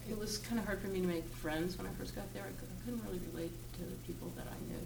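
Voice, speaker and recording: An older woman with a Virginia Southern accent, recalling her past in an oral history interview, reflective and pausing often with "uh", laughing easily. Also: mid-range voice, fairly even intonation, vocal fry. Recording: roomy, distant mic, noisy background.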